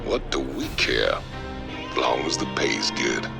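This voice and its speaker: An actor playing a burly, cocky outlaw mercenary in a video game, gruff and gravelly, with a swaggering drawl. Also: male voice, extremely low pitch, measured pace, music in the background, heavily acted. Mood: indifferent.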